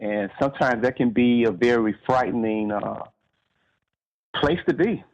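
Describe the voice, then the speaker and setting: A man answering interview questions as a guest author, explaining in measured, conversational phrases with pauses. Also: medium pitch, lo-fi sound.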